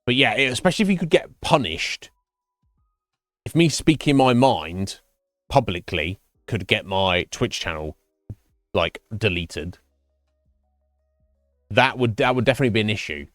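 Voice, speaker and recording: Low-voiced man musing on stream. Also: big pitch swings, a bit bright, no echo, studio quality, soft background music. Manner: thoughtful, halting, talks his answers through slowly. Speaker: Twitch streamer. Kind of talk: casual live-stream chat with viewers.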